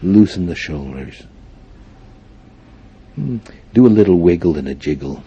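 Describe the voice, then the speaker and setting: A man leading a guided meditation for a group, speaking in formal, deliberate phrases punctuated by frequent hums. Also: deep voice, animated, dark tone, slightly rough, highs cut off. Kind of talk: guided meditation instruction before a live group.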